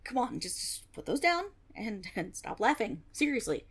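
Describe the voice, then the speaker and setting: A woman's voice: on the high side, sing-song, normal speed, breathy edge. A woman playing a flustered young tiefling rogue in a fantasy audio roleplay, stammering and blustering, cocky on the surface but unsure underneath. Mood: alarmed, indignant. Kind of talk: fantasy roleplay audio drama, one-sided dialogue.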